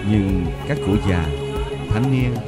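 Low voiced guy doing a slow reverent voice-over narration, music playing loud behind him. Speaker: documentary narrator.

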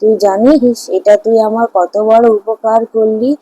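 A woman's voice: fairly high pitch, steady tone, normal speed, mellow, highs cut off, close to an everyday voice. A woman voicing a frail, poor old villager in a cartoon fairy tale, with a plaintive, motherly manner. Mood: tender, concerned. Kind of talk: Bengali animated fairy-tale dialogue.

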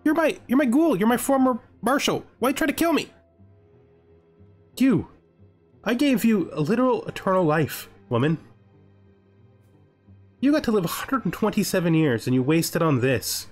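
A man's voice: sits high, very animated, moderate pace, long pauses, soft background music. A man giving casual strategy game commentary as host, thinking aloud and reading event text. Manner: thinking aloud, asking himself questions. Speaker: let's-play host.

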